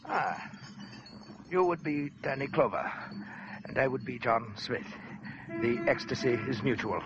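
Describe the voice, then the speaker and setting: A silky, sinister collector. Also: male voice, medium pitch, expressive, unhurried, over music. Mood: silky, mocking. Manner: florid, mocking formal speech. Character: sinister suave fat collector, man, middle-aged. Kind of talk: 1940s radio acting. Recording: lo-fi old radio recording.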